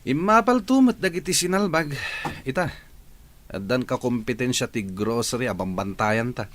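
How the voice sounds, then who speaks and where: A man playing a practical, reassuring character in an audio drama, talking in an expressive, conversational way. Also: mid-range voice, moderate pace, close to an everyday voice. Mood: teasing, dismissive.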